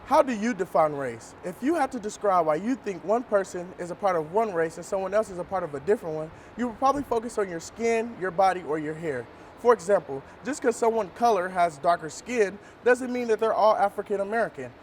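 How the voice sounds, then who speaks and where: A man's voice: high-pitched, expressive, brisk, few pauses, a little hiss or hum. A young man presenting a student newscast, reading his lines from a script. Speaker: student news anchor.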